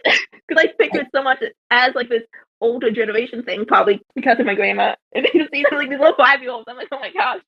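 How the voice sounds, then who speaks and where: A woman's voice: high voice, animated, fast, no echo, lo-fi sound. A woman from Minnesota chatting casually and eagerly explaining. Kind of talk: casual chat.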